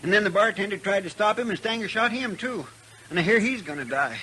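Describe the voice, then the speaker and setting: A man's voice: high voice, animated, fast talker, bright, forceful tone. A man playing an earnest, loyal cowtown sidekick in a 1950s western radio drama, with a drawling rural American western accent. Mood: urgent. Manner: drawling, plain-spoken, deferential. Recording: noisy 1950s radio recording, outdoor sound effects.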